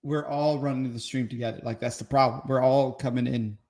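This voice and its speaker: A man with a mid-range voice co-hosts a livestreamed podcast, cracking crude jokes and laughing along. He pokes fun at himself easily, in an everyday speaking pitch. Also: fairly even intonation, brisk, a little mellow. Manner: laughing, self-deprecating, joking. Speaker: podcast co-host. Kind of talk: crude comedic banter.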